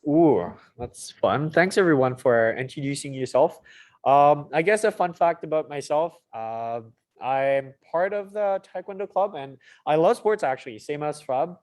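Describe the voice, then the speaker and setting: Higher-voiced young man hosting online. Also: animated, measured pace. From Mauritius. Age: young adult. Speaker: student presenter and panel moderator. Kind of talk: informal online presentation, hosting. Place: online video call.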